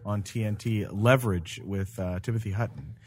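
Man, lowish voice, earnest halting delivery, casual podcast panelist. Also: crowd noise. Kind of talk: casual chat.

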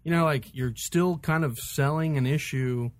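A man's voice: on the high side, normal speed. A man on a podcast panel chatting casually in a rambling, filler-heavy way. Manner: rambling, peppered with "like". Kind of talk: casual chat.

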